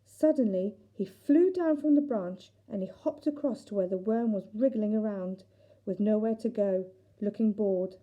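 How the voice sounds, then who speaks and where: A woman narrating a children's storybook in an expressive, deliberate storytelling manner. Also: medium pitch, poor audio. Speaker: teacher reading aloud.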